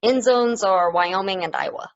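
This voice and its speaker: A woman's voice: medium pitch, normal speed, a little hiss or hum, lightly acted. A woman playing a casual, sports-keen space probe in an amateur play reading. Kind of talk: amateur play table read. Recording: dull, lo-fi audio.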